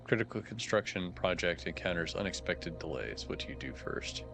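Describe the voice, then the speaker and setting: Slightly hoarse, chatty male streamer. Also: low voice, expressive, normal speed. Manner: chatty, thinking aloud, wry asides. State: a little hoarse. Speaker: game streamer. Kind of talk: casual live-stream commentary. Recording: background music under voice.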